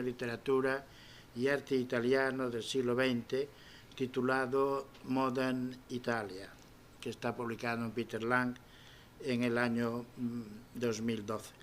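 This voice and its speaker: A man's voice: medium pitch, slight background noise. A man giving an admiring formal introduction of a guest speaker at a university event, with a few restarts. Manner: warm, admiring, with small restarts and fillers.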